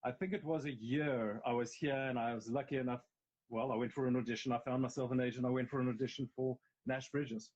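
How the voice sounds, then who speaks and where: A man's voice: mid-range voice, steady tone, quick pace, a little mellow. A middle-aged man with a South African accent, a guest actor telling his story candidly in a casual interview, rambling a little and restarting phrases. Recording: slightly dull, lo-fi sound.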